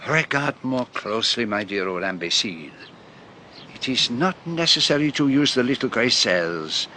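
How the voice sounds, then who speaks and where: A man's voice: medium pitch, lively intonation, moderate pace, sharp tone, slight background noise. An actor playing a shrewd, vain older male detective with a Belgian French accent and a courtly, teasing, precise manner in a radio drama. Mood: patient, teasing.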